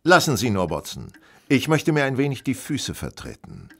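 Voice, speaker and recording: An actor playing a cool, courteous, brooding master detective in a German audio drama, formal and reserved. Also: male voice, mid-range voice, huge pitch swings, normal speed, creaky, fairly bright tone, no room sound, exaggerated voice. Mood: pensive.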